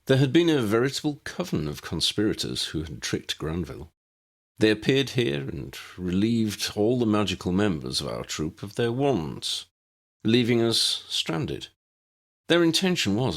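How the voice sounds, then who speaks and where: A man voicing a weary old wizard in an audiobook story, grave and courteous, with formal, measured phrasing. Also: on the low side, big pitch swings, vocal fry, voice pushed up, exaggerated voice. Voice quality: cracked voice.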